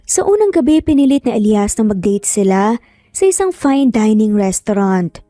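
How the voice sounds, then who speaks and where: A woman's voice: medium pitch, sing-song, moderate pace, mellow, dry recording, studio quality. A woman narrating a romance story, acting out the dialogue as she goes. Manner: acts out dialogue between narrated lines. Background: background music.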